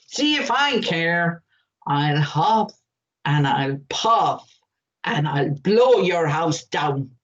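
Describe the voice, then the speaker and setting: A big bad wolf from a storybook, sly and threatening, voiced by a woman who drops her voice low and stretches every word. His huffing threats come slow and heavy. The recording sounds dull and lo-fi. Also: expressive, full character voice. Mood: menacing. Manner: slow, drawn-out, growling threats.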